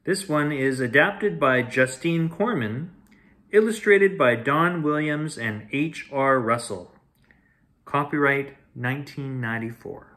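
Man, forties, unhurried storyteller, animated pitch, expressive children's read-aloud. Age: 40s. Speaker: storyteller reading bedtime stories. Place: small home room with shelves, indoors.

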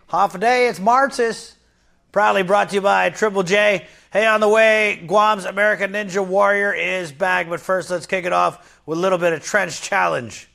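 A man anchoring a local TV sports segment in an energetic, exclamatory, punchy style. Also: sits high, gravelly, no room sound. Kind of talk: upbeat sports newscast.

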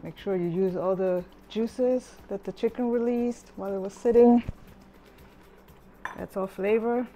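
A middle-aged woman calmly talking through her cooking steps in a chatty home tutorial voice-over. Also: medium pitch, unhurried, slight background noise. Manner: relaxed, chatty step-by-step explaining. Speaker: home cook.

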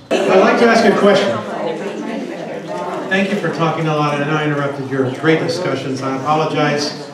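A man leading a seminar workshop, talking with the audience in a chatty, joking way. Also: fairly high pitch. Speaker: workshop presenter. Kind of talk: interactive seminar talk. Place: hotel conference room. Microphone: handheld mic, far camera. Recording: echoey room, distant camera.

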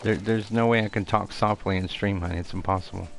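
A man's voice: fast talker, vocal fry. A young man hosting a casual live game stream over a headset, reading viewer comments aloud and answering them in an easygoing, repetitive way. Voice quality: deep voice. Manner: relaxed, chatty, repeats phrases, answers chat as he plays. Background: game music.

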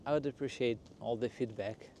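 A man hosting a walking tour in a casual, unscripted way, speaking in halting, broken phrases outdoors on a city street. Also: medium pitch. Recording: noisy outdoor street with traffic. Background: street ambience and passing vehicles.

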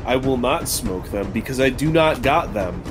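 A man's voice: medium pitch, expressive, normal speed. A man bantering casually during a tabletop game in a wry, teasing, mock-formal way. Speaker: player. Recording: music playing behind the voice. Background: music.

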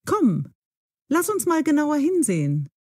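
Actress as Lotte, a high-voiced curious young sleuth. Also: female voice, huge pitch swings, a little slow, a little mellow, heavily acted. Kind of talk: scripted audio drama for language learners.

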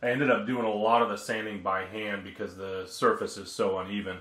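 A man's voice: fairly low pitch, steady tone, brisk, a bit bright, echoey room. A man giving a plain, matter-of-fact how-to narration about work on his sailboat. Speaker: vlogger refitting his sailboat. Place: aboard a boat in a marina.

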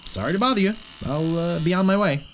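A man's voice: high voice, fast talker, slight background noise, natural voice. A man voicing a naive, earnest young would-be pirate in a comic adventure game, boyish, polite and a bit wimpy. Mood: polite, mild.

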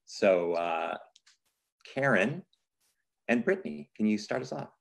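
Low-voiced man introducing a play. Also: sing-song, measured pace, creaky. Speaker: theater season announcer. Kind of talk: presentation introducing a staged reading. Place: video call.

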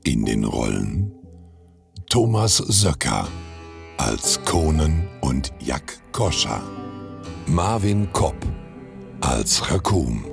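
Very deep gravelly male announcer. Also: sing-song, extremely slow, long pauses, over music. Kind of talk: scripted credits narration.

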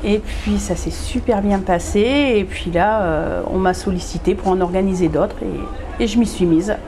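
A woman's voice: medium pitch, moderate pace, noisy background. A woman in her forties from northern France, a photographer being interviewed, who answers casually with many hesitations and restarts, recorded with a lapel mic in a greenhouse. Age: 40s. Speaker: photographer and event organizer. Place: glass greenhouse room.

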